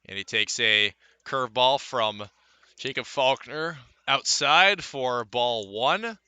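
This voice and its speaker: A man calling live baseball play-by-play for radio, mixing pitch-by-pitch description with conversational asides. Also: slow, bright, forceful tone, clear, resonant tone, no room sound. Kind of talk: live sports commentary.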